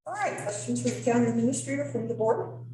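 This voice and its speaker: A woman's voice: fairly high pitch, animated, quick pace, mellow, a little hiss or hum. A woman chairs a town board meeting and calls motions and votes in set procedural phrases. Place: council meeting room. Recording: echoey meeting room, mic far off.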